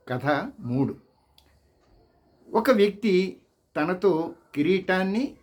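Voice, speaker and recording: Slow, higher-pitched male storyteller. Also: a little mellow, slightly rough. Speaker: audiobook reader.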